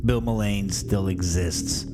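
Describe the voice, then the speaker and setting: A man's voice: deep voice, slow, gravelly. A man introducing a horror storytelling show as its host, theatrical and wry, with music playing behind him.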